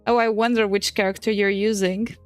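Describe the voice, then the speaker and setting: A woman's voice: medium pitch, flat delivery, fairly quick, clear, resonant tone, dry recording, soft background music. A woman in her twenties, a native Portuguese speaker, chatting casually on a stream while she draws, swearing and laughing often. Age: 20s. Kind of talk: casual chat while drawing.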